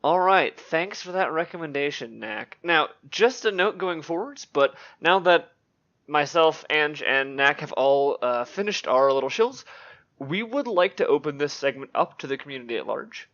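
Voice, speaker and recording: Male podcast host, bright forward voice, casual delivery, slightly muffled lo-fi audio. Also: on the high side, lively intonation, normal speed.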